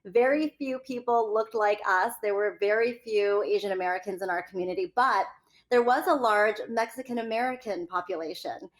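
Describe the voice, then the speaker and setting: A woman's voice: mid-range voice, normal speed. A woman from California leading an interview conversation, speaking in a polished, articulate way. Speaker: host.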